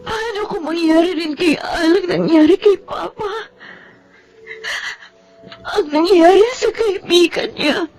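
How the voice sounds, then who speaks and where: A woman with a Filipino accent playing an anxious, fragile young soldier's wife in a radio drama, breathy, husky and pleading. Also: very high-pitched, measured pace, long pauses, a little mellow. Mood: tearful fear. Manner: emotional, pleading.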